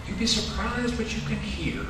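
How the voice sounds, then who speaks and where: A man's voice: high voice, normal speed, distant mic, pitched above the performer's own voice, natural voice. A man voicing a chatty young male judo student, in an echoey room. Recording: echoey room from afar, noisy.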